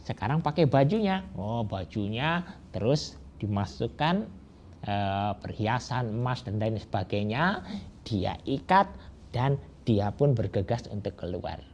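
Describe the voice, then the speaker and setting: A man telling a folk tale to children, slow and drawn-out, with rhetorical questions. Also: lowish voice, animated, darkish tone. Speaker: storyteller. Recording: dull lo-fi recording with faint hiss.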